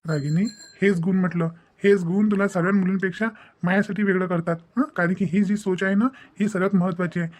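A man's voice: sits high, fairly even intonation, fast, darkish tone. A man voicing a devoted young husband in a cartoon family drama, earnest and insistent, lecturing with questioning tags in a Maharashtrian Marathi accent. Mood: admiring.

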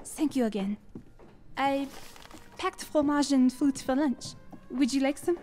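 An actress playing a sweet, curious young French music student, earnest and inquisitive, in a radio drama. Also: female voice, high voice, measured pace, mellow. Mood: friendly, curious.

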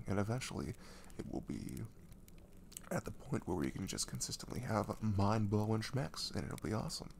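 A man playing a gentle, caring boyfriend in an intimate ASMR roleplay, speaking softly and breathily, often half-whispering, with casual, hesitant reassurance. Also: on the low side, creaky, a little hiss or hum, full character voice. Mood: loving, soothing. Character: caring, gentle young boyfriend comforting his girlfriend.

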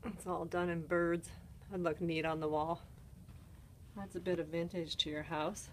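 A woman's voice: lowish voice, flat delivery, unhurried, a little hiss or hum. A woman with a Canadian accent casually walks through an antique mall, listing items and prices while filming on her phone. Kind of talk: casual walk-through narration. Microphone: handheld phone camera.

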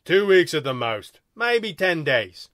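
A man's voice: on the high side, big pitch swings, slow, a bit bright, no echo, studio quality, heavily acted. A man voicing an officious male alien who scolds emphatically and sticks to regulations, in a story reading. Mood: bossy.

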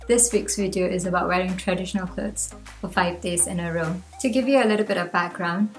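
A young woman from Malaysia, talking to the camera from a room at home. She shares her own reflections in an earnest, chatty way, and her pitch rises now and then. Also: fairly quick, faint music behind. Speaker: vlogger. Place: home room with bookshelves, indoors.

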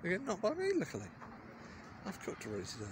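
Wry man with lively, swooping delivery. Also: high-pitched, fast. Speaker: vlogger. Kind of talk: casual rambling walk-around vlog narration. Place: outdoors in a garden. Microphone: handheld phone at arm's length. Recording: noisy handheld phone recording.

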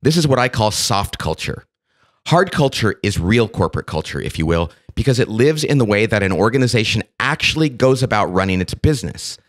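Male podcast host, nursing a cold, relaxed and self-deprecating, conversational. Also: lowish voice, brisk. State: has a cold. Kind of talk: casual podcast chat.

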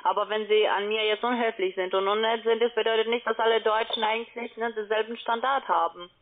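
Foreign woman doing a pushy telesales pitch, not a native speaker, tinny phone audio. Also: fairly even intonation, brisk. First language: not German. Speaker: call-centre agent selling online trading. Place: phone call. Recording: tinny, band-limited phone line.

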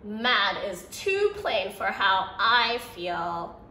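A woman's voice: mid-range voice, big pitch swings, very slow, bright, forceful tone, roomy sound, a little hiss or hum. A young woman who teaches preschool, reading a picture book aloud to children and voicing the quoted lines with expression. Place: indoor room by a brick fireplace.

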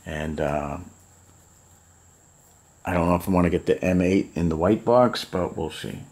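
A man's voice: sits low, a little slow, a little mellow. A middle-aged man casually showing old books to camera at home, musing aloud with lots of fillers. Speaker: model kit collector showing books. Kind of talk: casual show-and-tell vlog. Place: home room full of model kit boxes.

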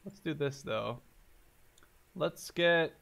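Guy in his 20s, slightly high voice, casually talking himself through a game, kinda sweary. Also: slow pace, gravelly, a bit bright. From Canada-based Dutchman. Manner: informal, profane, thinking aloud. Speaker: gaming video host. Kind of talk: casual gameplay commentary. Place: indoors, voice over gameplay.

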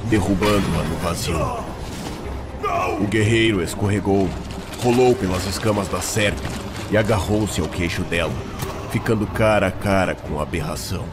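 A man's voice: deep voice, moderate pace, heavy background noise. A man with a Brazilian accent narrating a scripted fantasy drama in a solemn, theatrical storytelling manner. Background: loud dramatic music.

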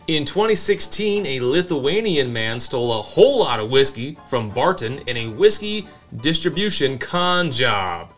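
An American man in his thirties co-hosting a show, narrating comic history and bantering in a dry, deadpan manner. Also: medium pitch, moderate pace, few pauses. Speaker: co-host of a whiskey review show. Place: small indoor home-style bar set. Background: background music with guitar.

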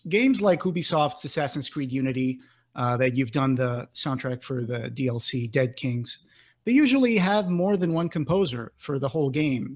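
A man in his thirties asking casual interview questions as a podcast co-host, close on a desk microphone in a home office. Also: a little high. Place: home office, indoors, on a video call. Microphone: close desk microphone with pop filter.

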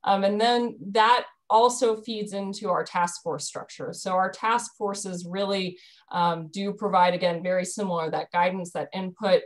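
A woman's voice: medium pitch, steady tone, moderate pace. A woman in her thirties explaining a dairy program on a video call from home, earnest, with frequent ums and restarts. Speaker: dairy program staff presenter. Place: bedroom at home. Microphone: video call webcam.

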